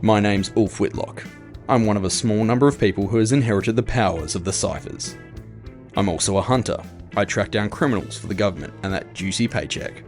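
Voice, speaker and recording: A man's voice: lowish voice, lightly acted. A man playing a world-weary bounty hunter with magic powers, telling his story tersely in a scripted audio fiction. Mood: confident, gritty. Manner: gritty, terse, first-person storytelling.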